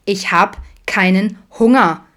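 A woman's voice: fairly low pitch, fast, close to an everyday voice. A woman voicing a sulky, defiant teenage girl in an audiobook, snappy, slangy and reproachful. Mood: sullen.

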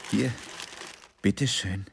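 An actor in a radio drama playing a courteous, slightly awkward gentleman caller with a polite, subdued manner. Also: on the low side, slow pace, gravelly, background noise. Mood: shy, courteous.

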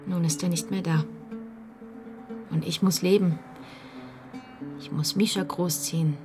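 A woman's voice: fairly low pitch, fairly even intonation, measured pace, over music, natural voice. An actress in a radio drama playing a hospitable, wistful widowed mother who speaks intimately and candidly. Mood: wistful, confiding.

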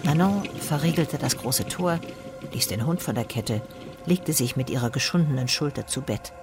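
A man's voice: mid-range voice, expressive, moderate pace, music in the background. A man reading scripted literary narration in a radio drama.

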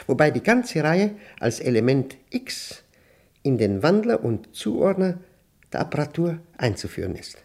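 Gravelly, obsessive professor slowly unravelling. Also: male voice, mid-range voice, a little mellow, exaggerated voice. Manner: theatrical, emphatic, deliberate diction in short clipped phrases. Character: obsessive, unravelling male professor dictating his diary. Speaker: radio drama actor. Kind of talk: German radio play monologue, dictated diary.

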